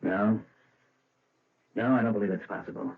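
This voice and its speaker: A man's voice: fairly low pitch, steady tone, moderate pace, husky. An actor playing a probing, exasperated police inspector who presses his questions in an old-time radio drama. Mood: skeptical. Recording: thin, phone-like old radio recording.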